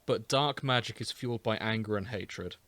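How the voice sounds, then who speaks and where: A man's voice: on the low side, moderate pace, fairly bright tone, no room sound. A man hosting a casual chat podcast, explaining a story in a storytelling way. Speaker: podcast host.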